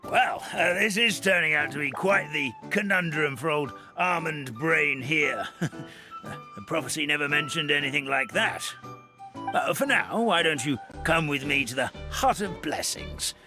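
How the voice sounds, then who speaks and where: A man's voice: fairly high pitch, sing-song, measured pace. An actor playing a kindly, forgetful old grandfather creature in a video game, with a folksy, rambling, hesitant manner. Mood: folksy, helpful. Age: older. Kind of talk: video game character acting. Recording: background music behind the voice.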